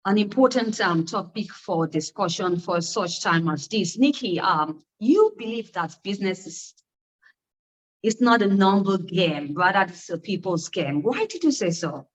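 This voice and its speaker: Woman host asking measured questions. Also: medium pitch, expressive, low-quality recording. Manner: measured, with frequent "um" fillers. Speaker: podcast host. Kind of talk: interview hosting.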